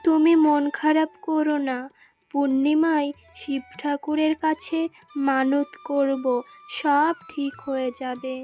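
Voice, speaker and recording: Woman playing cartoon village mother, caring, firm, high raised voice, music behind. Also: level tone, takes it slowly, mellow. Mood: reassuring. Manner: reassuring, firm. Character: caring, steadfast village farmer's wife and mother.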